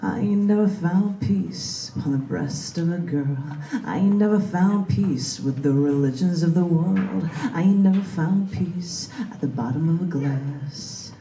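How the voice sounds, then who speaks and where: A woman's voice: lowish voice, unhurried, warm tone. A woman reading her own poetry live at an open mic in a large indoor bookstore, in a spoken-word style. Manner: emphatic, declamatory, repetitive rhythmic delivery. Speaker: poet reading own work. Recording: roomy, echoing live recording in bookstore.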